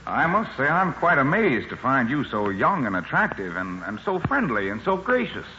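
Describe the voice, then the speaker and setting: A man's voice: medium pitch, very animated, moderate pace. A courteous, gushing leading man, hugely lilting delivery, noisy vintage radio comedy. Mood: gushing, amazed. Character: polite, flattering leading man, amazed and gushing. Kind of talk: scripted 1950s radio comedy. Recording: noisy, dull old radio, audience behind.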